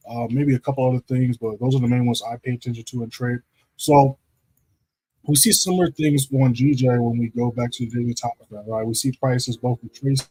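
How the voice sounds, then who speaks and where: A man with a deep voice hosting a live trading stream, talking casually through charts and often checking in with "right?". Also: mellow, slightly rough, rough recording. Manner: loose, repeats "right?" to check in with viewers. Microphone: streaming setup microphone.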